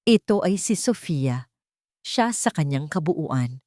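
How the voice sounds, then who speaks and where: A woman's voice: low-pitched, expressive, slow pace, a little mellow, no room sound, clean studio sound. A woman reading a scripted dramatic story as narrator.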